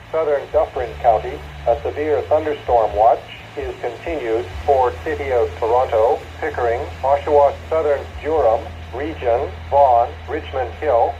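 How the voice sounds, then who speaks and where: A man's voice: medium pitch, mellow. A man's synthetic announcer voice giving a formal, stilted reading of a scripted weather bulletin over weather radio. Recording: dull, noisy weather radio reception.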